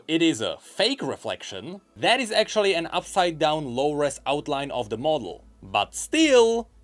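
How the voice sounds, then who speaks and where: Wry, animated male gaming news host. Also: fairly high pitch. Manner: wry, dryly sarcastic asides. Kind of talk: scripted narration of video game news. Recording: music behind the voice. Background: background music.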